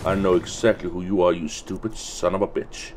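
A man's voice: deep voice, steady tone, brisk, noisy background. Sniffly young man commentating a game. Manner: rambling, sweary. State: sniffly from the end of a cold. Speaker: gaming video host. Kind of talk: casual let's play commentary. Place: indoors at a desk, webcam view. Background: faint game music.

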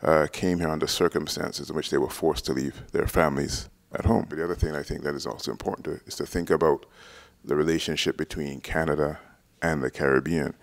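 A man in his thirties explains in a documentary interview, measured and full of ums. His voice sits extremely low with a gravelly fry. The handheld mic is close and the sound is dry. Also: steady tone, no room sound. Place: indoors, red-walled room, seated on couch. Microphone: handheld mic close to mouth.

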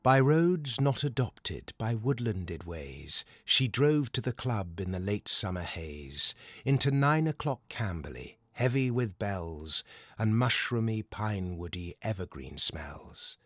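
A man with a British accent reciting a poem as a reader, with measured, expressive phrasing. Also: fairly low pitch, dry recording, studio quality. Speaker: poetry reader. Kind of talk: poetry recitation.

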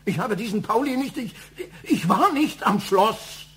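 A proud elderly father in a radio crime drama. His voice is high for a man and husky. He speaks emphatically, with defensive self-righteousness. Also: animated, a little slow, a bit bright, full character voice. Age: older. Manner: indignant, emphatic.

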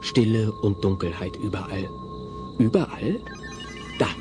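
A man's voice: lowish voice, animated, a little slow, music in the background. A man playing the narrator of a comedy radio play, telling the story with wry suspense.

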